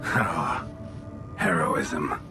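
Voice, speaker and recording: Slow, calculating corporate boss. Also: male voice, extremely low pitch, animated, full character voice. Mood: smugly philosophical. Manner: measured, condescending, faux-sympathetic, erudite. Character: smooth-talking, calculating corporate mining boss. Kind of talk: cinematic video game acting. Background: prominent music.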